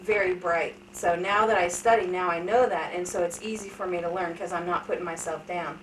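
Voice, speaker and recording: A woman's voice: lowish voice, fairly even intonation, brisk. A woman in her thirties chats openly and laughs at herself while she tells family stories. Her voice sounds a little distant and bounces around an echoey room. A faint hiss sits underneath. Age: 30s. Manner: chatty, candid storytelling with self-deprecating humor. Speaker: interviewee. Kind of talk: casual family interview.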